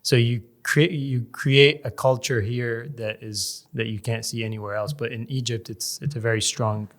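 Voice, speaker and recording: Measured, searching man in an interview. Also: medium pitch, steady tone, fairly bright tone. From Canada. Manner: measured, searching for words, explains at length. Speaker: podcast guest, real estate developer. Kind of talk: casual interview conversation.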